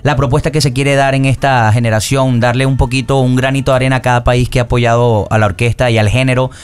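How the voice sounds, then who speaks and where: Man, from Venezuela, singer guest, smooth clear voice, laid-back. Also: medium pitch, fast talker. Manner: relaxed, easygoing, uses slang. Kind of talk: casual interview chat. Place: radio studio.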